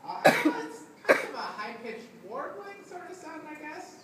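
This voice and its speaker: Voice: sits high, lively intonation, a crowd in the background. A puppeteer as a chatty fiend, echoey and distant. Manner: hesitant, drawn-out delivery. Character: gloating, chatty fiend from hell. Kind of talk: live puppet show dialogue. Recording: echoey room, noisy, distant mic.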